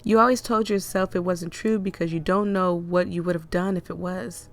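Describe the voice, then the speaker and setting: A woman with a cold and a hoarse, fading voice, reading a visual novel aloud with chatty asides. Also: on the low side, steady tone, moderate pace. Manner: chatty, laughs and coughs often, rambling asides. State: hoarse, losing her voice with a cold. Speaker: game reader and streamer.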